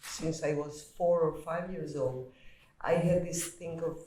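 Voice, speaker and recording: A woman from Albania with an Albanian accent, an author telling stories about her life with halting restarts in a panel conversation. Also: deep voice, warm tone, a little hiss or hum. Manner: reflective, storytelling, halting restarts. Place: hall at a literary event. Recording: echoey hall, far from mic.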